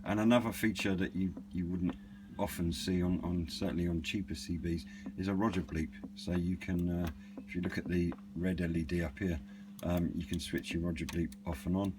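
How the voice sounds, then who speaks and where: A man's voice: sits low, normal speed. A middle-aged British man giving a casual, unscripted product demonstration in a hesitant, understated, meandering way. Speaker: radio hobbyist and reviewer. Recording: clearly noisy with a steady hum.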